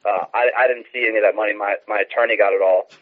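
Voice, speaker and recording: An American man speaking as a radio interview guest, explaining things in a halting, earnest way with repeated words. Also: on the low side, steady tone. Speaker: activist guest, phone-in interviewee. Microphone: telephone. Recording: muffled narrow phone line.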